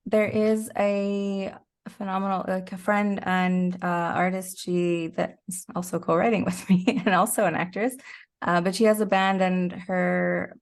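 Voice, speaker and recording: Low-pitched woman in casual interview chat. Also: little pitch movement, measured pace. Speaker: interview guest, actor and musician.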